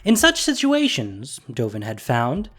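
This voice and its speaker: An expressive narrator tells a scripted audio drama story. The voice sits at a middling pitch and rises and falls widely through each sentence. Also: measured pace, soft background music.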